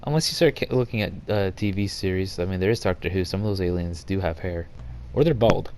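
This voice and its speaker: A man's voice: deep voice, fast talker, a little mellow. A man co-hosting a casual podcast, musing aloud in an easygoing way. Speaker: podcast co-host. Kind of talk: casual group chat. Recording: faint background noise.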